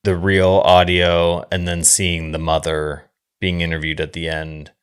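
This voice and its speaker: A man co-hosting a casual film discussion, speaking in a halting, measured way with some swearing. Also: deep voice, monotone, a bit bright, smooth. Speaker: podcast co-host reviewing films.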